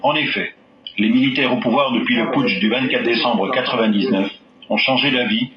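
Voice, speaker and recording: A man giving measured, formal documentary narration. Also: mid-range voice. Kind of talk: scripted narration. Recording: dull roomy archive audio.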